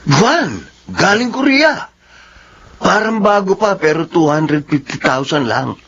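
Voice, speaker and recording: An actor playing a slick, coaxing Filipino hustler selling dodgy cars in a radio drama. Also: male voice, high voice, big pitch swings, slow, a bit bright, husky, exaggerated voice. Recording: dull, noisy lo-fi radio audio.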